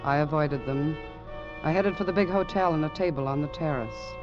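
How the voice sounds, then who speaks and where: An actor playing a grave man reading a letter aloud, in measured, emphatic mid-century radio drama narration. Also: fairly high pitch, natural voice. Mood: wry, descriptive. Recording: muffled old radio recording. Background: music.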